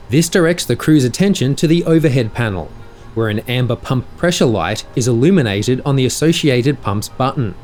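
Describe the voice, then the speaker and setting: A man reading a scripted explanatory narration in a measured, precise, instructional way. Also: medium pitch, a little mellow. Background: music.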